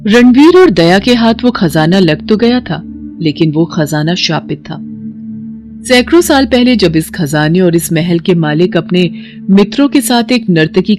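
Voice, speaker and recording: Lady storyteller, scripted dramatic narration, animated voice, bit of music behind. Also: on the low side.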